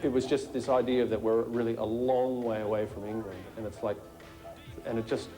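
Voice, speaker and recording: A man's voice: mid-range voice, fairly even intonation, normal speed, mellow, soft background music. A man speaking casually in a documentary interview as a musician.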